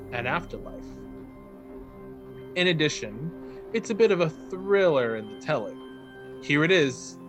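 A man reading a written account aloud as a narrator, measured and formal, with carefully enunciated phrases. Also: mid-range voice.

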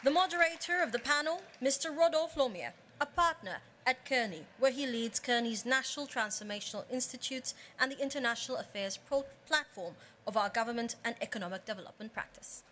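A woman's voice: on the high side, brisk, hardly any pauses, fairly bright tone, a little hiss or hum. A woman hosting a summit as emcee, formally introducing speakers and panelists. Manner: formal, ceremonial. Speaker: event emcee. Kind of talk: formal stage announcements. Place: large conference hall.

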